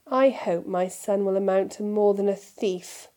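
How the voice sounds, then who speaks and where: A woman's voice: mid-range voice, unhurried, dark tone, close to an everyday voice. A haughty aristocratic wizard, as a woman reads him, icy and clipped, every word dripping with disdain. He speaks with cold authority and expects to be obeyed.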